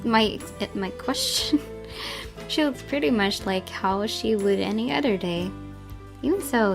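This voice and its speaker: A woman reading a visual novel aloud as first-person narration. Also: medium pitch. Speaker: gaming channel host reading aloud. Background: music.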